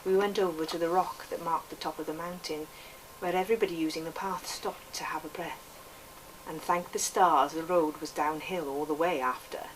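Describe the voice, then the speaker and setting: A woman's voice: low-pitched, fairly even intonation, moderate pace, natural voice. Earnest welsh kid telling his story woman doing it, lilting and lyrical, bit far from the mic, faint noise. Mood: earnest, wistful. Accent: put-on Welsh. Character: earnest Welsh boy narrating a storybook memoir. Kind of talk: expressive literary reading. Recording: distant microphone, background noise.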